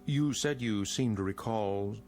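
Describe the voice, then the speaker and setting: An actor playing a middle-aged financial consultant, unsettlingly polite and prying, with apologetic hesitations, in a vintage TV drama. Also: male voice, on the low side, a little slow, close to an everyday voice. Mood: probing, apologetic. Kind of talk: mid-century American TV drama acting. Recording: vintage TV soundtrack with music behind.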